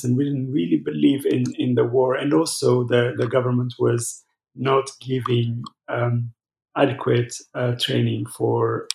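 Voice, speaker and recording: A gravelly-voiced man speaks as a pastor guest, stopping often and restarting his sentences. The recording has a noticeable roomy echo around him. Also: mid-range voice, a little mellow. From Ethiopia. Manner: halting, many fillers and restarts. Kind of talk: conversational interview answers.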